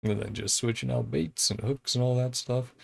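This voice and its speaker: A man giving casual let's-play commentary in a relaxed, chatty way, sometimes reading game dialogue aloud. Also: mid-range voice, moderate pace, creaky, slight background noise. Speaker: game streamer.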